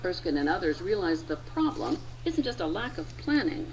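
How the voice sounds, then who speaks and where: An American woman delivers scripted narration for a science news report. Her voice sits a little low and moves with lively, varied intonation. Faint noise runs behind her. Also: normal speed, poor audio.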